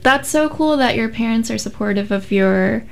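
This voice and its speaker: A woman's voice: on the high side, moderate pace, vocal fry. A woman interviewing a guest, curious and encouraging as she asks her questions. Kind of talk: casual interview conversation.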